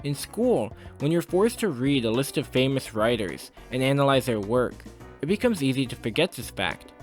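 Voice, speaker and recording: A man's voice: mid-range voice, sing-song, moderate pace, soft background music. A man narrating a scripted video essay in an opinionated, literary manner with formal wording.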